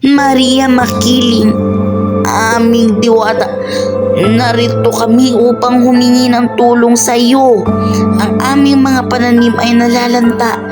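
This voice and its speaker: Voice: little pitch movement, unhurried, natural voice. A student narrator voicing an old villager who begs a fairy for help in a radio play. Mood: pleading. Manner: high, strained, begging. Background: music.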